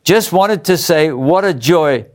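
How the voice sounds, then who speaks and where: Upbeat English man hosting, slightly high. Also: expressive, takes it slowly. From England. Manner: upbeat, chuckles between remarks. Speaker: host. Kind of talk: reading viewer letters on a Bible study show.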